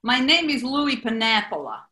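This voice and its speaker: A woman playing a smooth-talking, flattering New bar owner in a 1940s-style radio comedy. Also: on the high side, normal speed, roomy sound. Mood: smooth, self-important.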